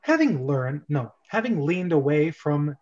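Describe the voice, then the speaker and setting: Slightly muffled, higher-pitched male host. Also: sing-song, darkish tone. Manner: halting, self-correcting. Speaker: host and script reader. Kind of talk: casual, joking amateur table read.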